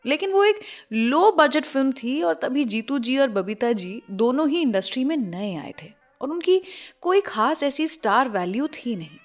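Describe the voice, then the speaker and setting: A woman's voice: sits high, expressive, normal speed. An Indian-accented woman hosting a radio show with scripted narration, addressing listeners directly and mixing in English phrases. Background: music bed.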